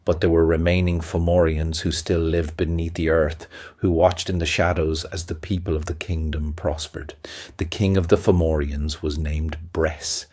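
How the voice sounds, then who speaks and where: A man narrating a fantasy audio play as a storyteller, with a measured, even delivery. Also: rumbling low, dry recording. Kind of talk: scripted fairy-tale narration.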